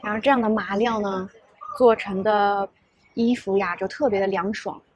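A woman's voice: mid-range voice, fairly even intonation, moderate pace, no echo. A woman in her thirties from Shanghai with a Shanghai Mandarin accent, chatting upbeat and straight to the viewer in a casual vlog, recorded on a clip-on mic in a garden café. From Shanghai, China. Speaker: travel vlogger. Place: garden and wooden café, mostly outdoors. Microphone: small clip-on mic on the shirt. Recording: soft music underneath.

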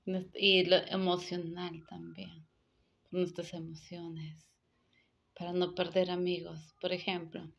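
Brief: young woman, lowish voice, earnest repetitive advice vlog, close phone mic, sometimes near a whisper. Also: flat delivery, slow, creaky. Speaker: vlogger giving advice. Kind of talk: casual advice monologue to camera. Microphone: phone held close to the face.

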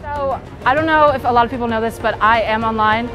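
A woman's voice: a little high, fairly quick, lo-fi sound. A teenage girl talking casually about bowling in an upbeat, chatty way with lots of fillers, interviewed in a bowling alley. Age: teens. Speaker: high school bowler. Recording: music playing underneath.

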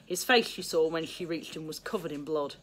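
A middle-aged English woman reading a children's story aloud in expressive narration. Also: sits low, fairly quick, poor audio. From England. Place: small book-lined room indoors.

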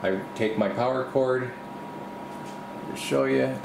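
A man's voice: medium pitch, slow, vocal fry. A man giving a casual, off-the-cuff workshop tour as a video host, rambling and thinking aloud, speaking from behind the camera. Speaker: video host, radio repair technician. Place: small indoor home workshop. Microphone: camera mic, speaker behind camera. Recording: roomy, steady air-conditioner-like hum.

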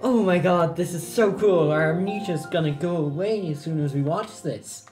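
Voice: medium pitch, moderate pace, darkish tone. A gamer bantering casually and playfully through gameplay, with a sarcastic streak. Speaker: gamer co-playing on a shared controller. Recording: roomy echo, game music behind. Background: video game music and sounds.

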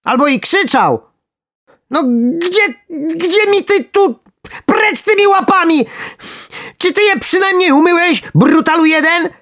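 A storyteller voicing an indignant, panicky little talking worm in a storybook, with a shrill squeaky voice and spluttering, sarcastic rants. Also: huge pitch swings, takes it slowly, few pauses, a little mellow, no echo, full character voice. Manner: ranting, spluttering protests.

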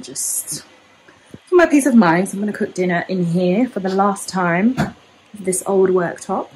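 A woman's voice: sits low, a little hiss or hum, poor audio. A woman, chatting casually in a home vlog, filmed on a handheld phone in her kitchen. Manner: chatty, many ums and likes. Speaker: home vlogger. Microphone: phone held at arm's length.

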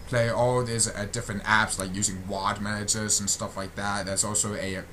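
A young man giving a casual channel update as a gaming video creator, halting and often repeating his phrases, on a built-in computer microphone. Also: fairly low pitch, sharp tone, slight background noise. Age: young adult.

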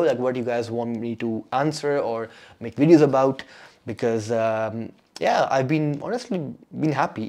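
A man's voice: mid-range voice, a little mellow. A young man whose first language is Urdu, chatting casually through a rambling vlog update, full of fillers and restarts. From Pakistan. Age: young adult. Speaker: doctor and vlogger.

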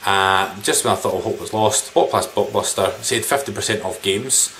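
A man's voice: lowish voice, moderate pace, fairly bright tone. A man in his thirties giving a rambling, informal, mildly sweary chat to camera as a game collector in a small living room. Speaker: video game collector vlogger. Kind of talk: casual chat showing game pickups to camera. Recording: background noise, room ambience.

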